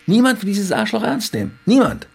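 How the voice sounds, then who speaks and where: A blustering family man in a radio drama, defensive and quick to anger. His voice swings widely in pitch and he drives his points home emphatically. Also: wildly swooping pitch, gravelly, husky, full character voice. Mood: indignant, dismissive. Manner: emphatic, indignant, repeats himself. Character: hot-tempered husband and father, defensive, blustering. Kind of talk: naturalistic radio drama acting.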